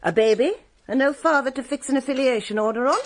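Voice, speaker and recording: An actress playing a prim, moralising English spinster, pompous and disapproving, in a radio drama. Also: female voice, high voice, fast talker, natural voice.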